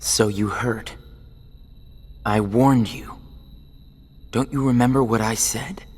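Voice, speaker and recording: A cold, taunting young rival. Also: male voice, medium pitch, steady tone, slow. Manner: cold, taunting, erupting into shouting. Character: brooding young male rival in disguise, cold and taunting, then furious. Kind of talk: game cutscene voice acting. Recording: game audio with long reverb under loud music.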